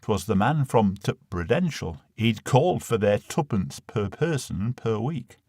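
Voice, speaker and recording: A man's voice: on the low side, animated, unhurried, darkish tone, no echo, clean studio sound. A man with a Northern English accent reading a comic dialect monologue in verse as a dramatic recital. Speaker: poetry reader.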